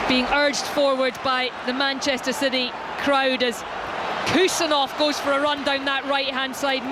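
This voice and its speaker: A man with a very high, light voice calls a football match play by play. His delivery rises with each attack. A loud stadium crowd roars behind him, with steady noise in the mix. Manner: fast, rising calls over the action. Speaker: play-by-play commentator. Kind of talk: live football commentary.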